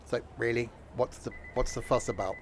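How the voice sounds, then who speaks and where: A man's voice: mid-range voice, steady tone, a little fast, slight background noise. Jokey man rambling through gameplay. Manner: rambling, jokey, chats with live viewers; frequent ums and self-corrections. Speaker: streamer. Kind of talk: casual gameplay commentary.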